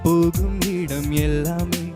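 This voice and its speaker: A woman's voice: lowish voice, fairly even intonation, slow pace. A woman singing film songs as an audition contestant. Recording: loud music behind the voice. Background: loud film music.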